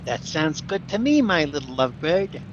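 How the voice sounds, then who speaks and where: Male actor as cheeky henpecked husband, lively high voice, radio comedy, dull narrow sound. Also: moderate pace, background noise. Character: silly, henpecked wisecracking husband, excitable and cheeky. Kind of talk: 1940s radio comedy acting.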